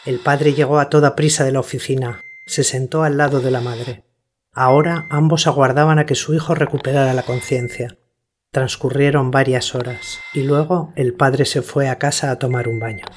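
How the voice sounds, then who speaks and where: A man's voice: medium pitch, fairly even intonation, moderate pace, a little hiss or hum. Sober male story narrator, faint ambience. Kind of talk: scripted radio story narration.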